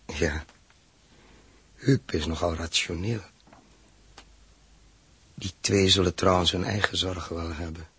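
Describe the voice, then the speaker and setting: A man's voice: sits low, steady tone, takes it slowly, long pauses, a bit bright, husky, exaggerated voice. A man playing a pensive, wary astronaut, murmuring to himself in a 1960s radio drama. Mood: musing. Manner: musing, murmured soliloquy. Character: pensive, wary male astronaut on an alien planet. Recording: 1960s radio recording with music.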